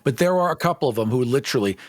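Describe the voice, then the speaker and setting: A man's voice: mid-range voice, lively intonation, rapid-fire, no echo. A man chatting casually as a podcast co-host, giving emphatic, appreciative commentary.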